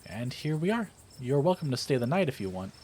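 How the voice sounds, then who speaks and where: A man voicing an excitable, friendly young woman in a visual novel, casual and chatty. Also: medium pitch, brisk, a little hiss or hum, close to an everyday voice. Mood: friendly, concerned. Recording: music playing behind the voice.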